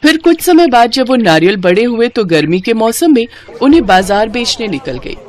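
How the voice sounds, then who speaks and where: A woman's voice: on the high side, big pitch swings, normal speed, darkish tone. A woman narrating a scripted children's moral story as the storyteller.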